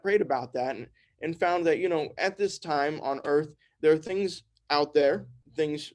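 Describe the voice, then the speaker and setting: A man's voice: fairly high pitch, darkish tone. A young man giving an informal, earnest spiritual talk, repeating phrases and restarting often. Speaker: trance channel and speaker. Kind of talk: informal sermon.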